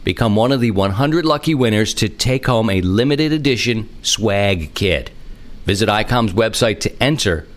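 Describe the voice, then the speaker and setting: Low-pitched man hosting a podcast. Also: animated, moderate pace. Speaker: podcast host. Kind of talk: reflective podcast monologue and sponsor read.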